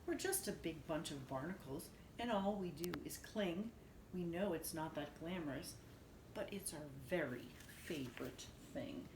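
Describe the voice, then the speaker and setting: A woman's voice: deep voice, expressive, unhurried. A woman voicing humble, cheerful clinging barnacles in a plain storybook delivery. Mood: humble, contented. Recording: roomy echo with faint noise.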